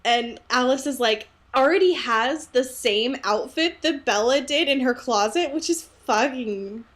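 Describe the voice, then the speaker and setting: A woman with a fairly high voice co-hosts a casual book podcast. She talks in a loose, conversational way, giggly and given to playful sarcastic asides. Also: a little slow, a bit bright. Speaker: podcast co-host. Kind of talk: casual book discussion chat.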